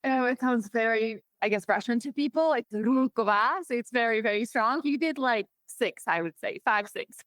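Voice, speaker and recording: A woman's voice: on the high side, normal speed, hardly any pauses, a bit bright, no room sound. A woman in her thirties from the Czech Republic, speaking with a Czech accent and chatting in an upbeat way as a podcast guest. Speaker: guest, marketing executive. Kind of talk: podcast interview.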